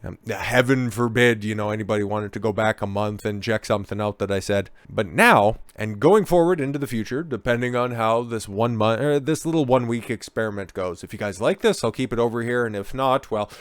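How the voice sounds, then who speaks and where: A low-pitched man with a raspy, slightly hoarse voice, holding forth in a loose, rambling monologue to his channel audience. He checks in with a constant "okay?" as he goes. The sound is close and dry, with no room around it. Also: brisk, hardly any pauses, dry recording. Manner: rambling, tags sentences with "okay?". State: a bit hoarse from being under the weather. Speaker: channel host. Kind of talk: casual talking-head monologue.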